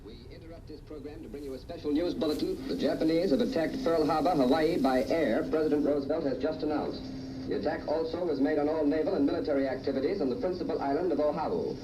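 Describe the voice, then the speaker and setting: A man's voice: a little high, fast. An American man reading a formal, urgent radio news bulletin in 1940s style. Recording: distant old radio, crowd-like background din.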